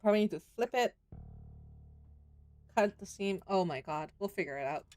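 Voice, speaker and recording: Woman streamer, mid-range voice, narrating a visual novel with candid asides, clear music behind her. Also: medium pitch, lively intonation, normal speed, darkish tone. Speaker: game streamer. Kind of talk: reading a visual novel aloud with casual commentary. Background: game music.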